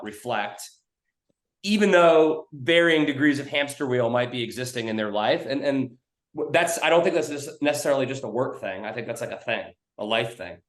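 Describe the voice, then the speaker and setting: A man hosting a podcast in loose casual chat, with frequent restarts and swearing. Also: medium pitch. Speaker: podcast host. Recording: roomy echo.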